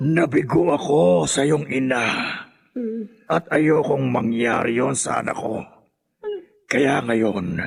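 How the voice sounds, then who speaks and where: An actor playing a menacing middle-aged sorcerer who serves Satan, raspy and theatrical, in a radio horror drama. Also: male voice, on the high side, a bit bright, heavily acted. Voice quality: raspy, gravelly. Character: menacing older sorcerer father serving Satan.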